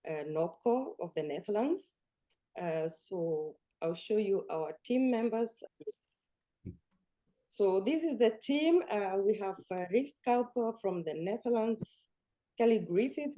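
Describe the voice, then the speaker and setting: A woman's voice: fairly low pitch, mellow. A woman from Kenya hosting an online coaching webinar, speaking with frequent hesitations. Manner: hesitant, many fillers. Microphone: video call.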